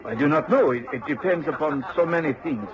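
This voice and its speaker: An actor playing a reserved foreign gentleman in a radio drama, with a foreign accent and a hesitant, stiffly polite manner. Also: a little high, expressive, brisk, a crowd in the background, close to an everyday voice. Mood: guarded, polite. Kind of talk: mid-century radio drama acting. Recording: dull old radio recording with background voices.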